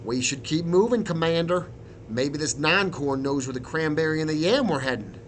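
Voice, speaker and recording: A man voicing a grumbling, suspicious army sergeant in a war story, gruff and dismissive. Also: a little high, normal speed, slight background noise, pitched above the performer's own voice, natural voice.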